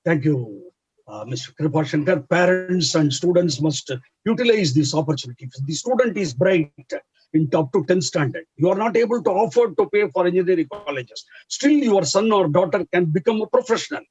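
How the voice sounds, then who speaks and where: A man with an Indian English accent explaining as a chartered accountancy educator, halting with many fillers in an earnest advisory way. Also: high-pitched, expressive, darkish tone, slightly rough, muffled recording. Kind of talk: explanatory interview answer.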